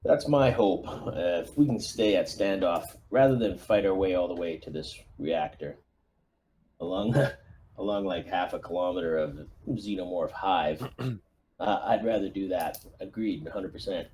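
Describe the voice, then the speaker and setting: A man speaking as a squad commander in a tabletop roleplaying game, briefing a plan in a halting, thinking-aloud way. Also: sits low, warm tone, slight background noise, poor audio. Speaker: player acting as squad commander.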